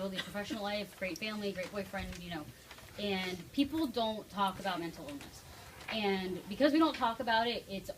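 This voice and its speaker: A woman speaks informally and candidly as an author introducing a book. The voice is medium in pitch, picked up from a distance with clear noise around it. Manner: candid, conversational. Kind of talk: informal public talk. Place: toy shop event, before an audience. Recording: distant mic, clearly noisy room.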